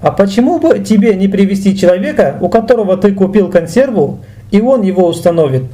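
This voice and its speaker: A man's voice: high-pitched, lively intonation, a little fast, warm tone. A man teaching in an explanatory video lesson, didactic and careful to enunciate each example. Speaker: language teacher.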